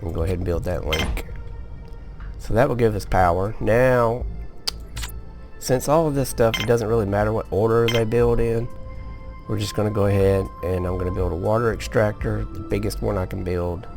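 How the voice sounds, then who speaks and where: Sleepy low-voiced man narrating gameplay. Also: normal speed, vocal fry, music in the background. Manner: relaxed, talks through each step with restarts. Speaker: gaming video host. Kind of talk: casual let's-play commentary.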